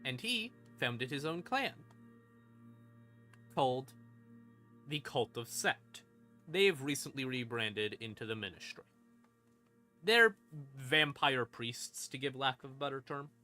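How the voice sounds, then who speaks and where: A man's voice: medium pitch, expressive, takes it slowly, pauses often, sharp tone. A man streaming a casual explanation of game lore, informal, with dry asides and dramatic emphasis. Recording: music behind the voice. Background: creepy ambient music.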